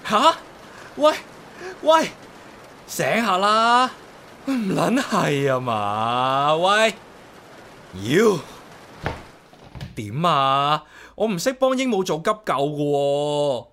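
Casting: an exasperated Hong Kong loner in radio drama, highish voice, very animated, excitable and sarcastic. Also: male voice, very slow, pauses often, slight background noise, exaggerated voice. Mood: flustered. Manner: grumbling, excitable, muttering to himself. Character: grumpy solitary man, exasperated, animal-hating, sarcastic. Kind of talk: comic radio drama acting and inner monologue.

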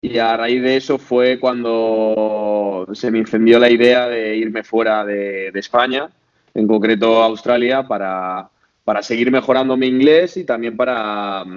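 A man's voice: on the low side, a little slow, highs cut off. A man in his late twenties from Madrid with a Spain Spanish accent, a podcast guest talking casually with many fillers and restarts. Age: 20s. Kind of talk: casual interview chat.

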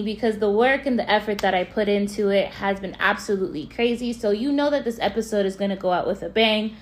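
A woman hosting a podcast, her voice hoarse from losing it, talking in a hyped, slangy way straight to her listeners. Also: medium pitch. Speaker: podcast host. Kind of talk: casual podcast chat.

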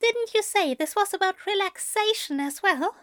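A woman acts out a flustered, apologetic angel for an audio story. The voice sits very high with lively swings and a bright edge. The delivery is prim, hesitant and polite, recorded close and dry. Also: normal speed, no echo. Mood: grumbling, reluctant. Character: fussy, flustered, self-deprecating angel man, a reluctant yoga pupil. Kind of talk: audio fiction character acting.

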